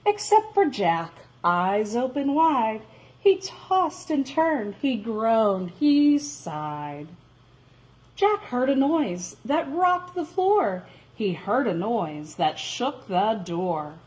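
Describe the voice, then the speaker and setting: A woman tells a children's story in a slightly high, very animated voice. She takes her time overall and leans into dramatic emphasis, stretching out important words. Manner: dramatic, expressive, with drawn-out emphasis. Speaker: storyteller reading a children's picture book.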